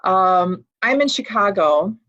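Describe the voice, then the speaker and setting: A woman's voice: mid-range voice, lively intonation, slow, fairly bright tone. An American woman giving a personal talk, telling a family story in a reflective way with frequent ums and uhs. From United States. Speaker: guest speaker. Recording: dull lo-fi sound.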